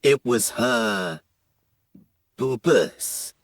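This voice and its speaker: A man voicing a solemn, reproachful father with a weighty, drawn-out delivery in a comic story. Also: medium pitch, lively intonation, dry recording, studio quality, pitched below the performer's own voice.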